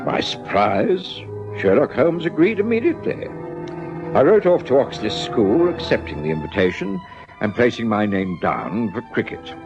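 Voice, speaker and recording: A man playing a genial middle-aged English gentleman doctor and narrator in a radio drama, with a British accent. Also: fairly low pitch, sing-song, normal speed. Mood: measured narrating. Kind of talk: radio drama acting and first-person narration. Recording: dull lo-fi radio recording, music behind.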